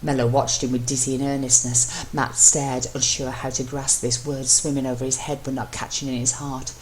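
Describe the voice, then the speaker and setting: A woman's voice: extremely low pitch, flat delivery, normal speed, darkish tone. A woman reading fan fiction aloud as dramatic scripted narration, in an amateur recording. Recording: noisy amateur home recording.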